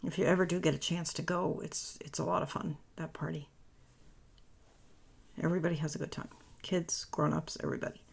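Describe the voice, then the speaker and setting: A woman narrates a craft tutorial in a loose, chatty way, often correcting herself. Her voice is raspy and worn after a long day of talking. It has a heavy gravelly fry and a husky edge. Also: low voice, steady tone, brisk. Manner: rambling, self-correcting, chatty. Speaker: papercraft tutorial host. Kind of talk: casual voice-over tutorial.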